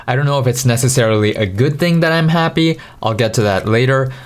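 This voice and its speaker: A man in his thirties talking frankly and self-mockingly to camera in a small room at night, into a mic on a boom. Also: fast talker. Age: 30s. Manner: frank, self-deprecating, conversational. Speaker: vlogger. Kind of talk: candid confessional monologue. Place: dim small bedroom at night. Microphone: studio mic on boom in front.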